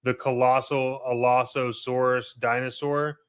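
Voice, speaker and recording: A man's voice: medium pitch, little pitch movement, unhurried, clear, resonant tone. An American man co-hosting a podcast, talking in an informal, slangy way with plenty of "like" and "right?". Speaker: podcast co-host. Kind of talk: casual chat.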